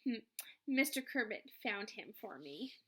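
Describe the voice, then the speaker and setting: A woman's voice: medium pitch, sing-song, measured pace, sharp tone, husky, exaggerated voice. A woman voicing a kindly, cheerful female teacher in a storybook with a singsong delivery. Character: sunny, kindly female classroom teacher greeting students.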